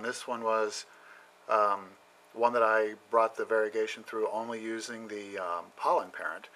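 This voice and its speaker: A middle-aged man giving a casual, halting tour of his basement plant shelves, with many ums and frequent throat clearing, recorded on a handheld camera. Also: on the low side, bright, forceful tone, rough recording. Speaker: home plant grower. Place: indoor basement grow shelves.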